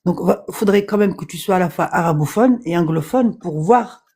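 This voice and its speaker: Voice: mid-range voice, normal speed, warm tone, husky. A guest on a live stream patiently explains a point, with many fillers and repeated words. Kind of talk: casual debate on a live stream.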